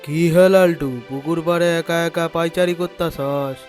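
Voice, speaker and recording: A high-voiced, crafty old village headman from a cartoon, acted by a man. He talks in an East Bengali dialect, greedy, conspiratorial and full of his own importance, with music playing behind him. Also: steady tone, moderate pace, a little mellow, lightly acted. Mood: nosy, needling. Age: older. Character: scheming older village headman, greedy and sly. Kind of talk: cartoon dialogue acting.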